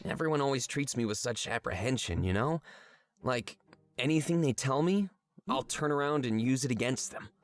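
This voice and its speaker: An actor voicing an earnest, slightly nervous furry swindler-writer who confides hesitantly in a visual novel game. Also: male voice, medium pitch, animated, moderate pace, no room sound, clean studio sound, natural voice. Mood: confiding, sincere.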